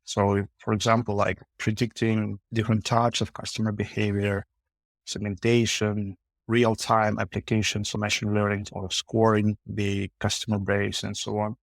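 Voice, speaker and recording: A man, a company founder answering interview questions haltingly with frequent fillers. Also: on the low side, completely flat delivery, creaky, dry recording. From Ukraine. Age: 40s. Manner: halting, many uh fillers, non-native phrasing. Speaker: podcast guest, founder.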